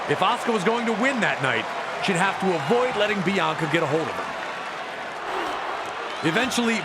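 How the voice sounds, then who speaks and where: Over a very loud, roaring arena crowd, a man delivers dramatic wrestling narration in a high-pitched voice. He tells the action emphatically, like a storyteller in a sports documentary. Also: heavy background noise.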